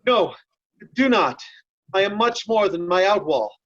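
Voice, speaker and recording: A woman's voice: mid-range voice, lively intonation, a little slow. A woman playing a loyal, earnest nobleman in a Shakespeare reading, recorded in dull lo-fi sound. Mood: urgent, confiding. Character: Kent, loyal nobleman, steadfast and urgent.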